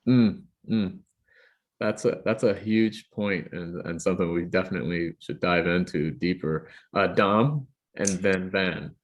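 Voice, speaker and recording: A man's voice: sits low, unhurried. A man moderating a community panel, polite and hesitant, with many fillers.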